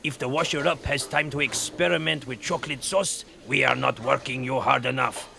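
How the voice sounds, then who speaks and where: A man's voice: mid-range voice, steady tone, moderate pace, vocal fry, sharp tone, slight background noise. A man playing a bossy, impatient head chef who barks curt orders, in a children's audio drama. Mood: scornful, urgent.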